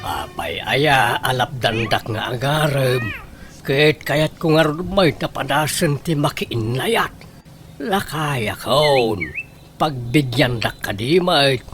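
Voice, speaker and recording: A man's voice: medium pitch, slow pace, a bit bright, soft background music. A man with an Ilocano accent playing a stubborn, lovelorn old bachelor in a comedy radio drama. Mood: pleading. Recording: noisy radio drama recording.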